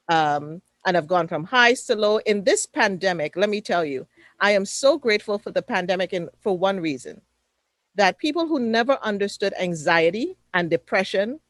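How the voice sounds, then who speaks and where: A woman's voice: medium pitch, dry recording. A woman with a Trinidadian accent speaking on a webinar panel over a video call, emphatic and preacher-like in her cadence. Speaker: panelist, mental fitness coach.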